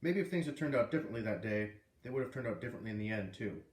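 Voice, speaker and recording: A man reading a children's novel aloud in an expressive, animated way, with casual asides. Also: on the low side, very fast. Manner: animated, puts on character voices. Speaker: read-aloud host. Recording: roomy, distant microphone.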